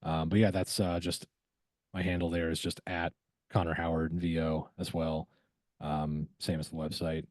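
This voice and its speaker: A man with a very low, dark and mellow voice talks as an interview guest. He is easygoing and encouraging, chatting informally. The sound is close and very dry. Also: little pitch movement, normal speed, no room sound. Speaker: podcast guest, voice actor. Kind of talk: casual interview chat.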